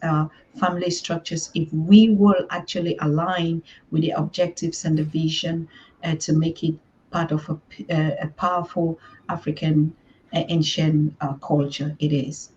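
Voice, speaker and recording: A woman's voice: low-pitched, steady tone, normal speed, a little mellow, lo-fi sound, slight background noise. A woman from southern Cameroon, a guest on an online interview, who speaks thoughtfully with many ums and uhs.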